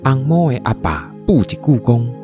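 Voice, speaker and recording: A man's voice: medium pitch, big pitch swings, normal speed, muffled recording, over music. A man with a Taiwanese accent narrating a scripted radio drama as a storyteller.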